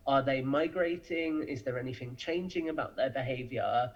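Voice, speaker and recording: A man's voice: mid-range voice, moderate pace, dull audio. A man giving conversational interview answers as a podcast guest, explaining things with enthusiasm. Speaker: podcast guest, audio drama creator.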